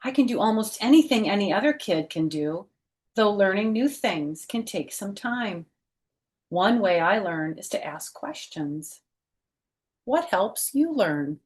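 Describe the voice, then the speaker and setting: A middle-aged woman reading a picture book aloud for children, with gentle teacherly phrasing and chatty personal asides. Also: mid-range voice, expressive, measured pace.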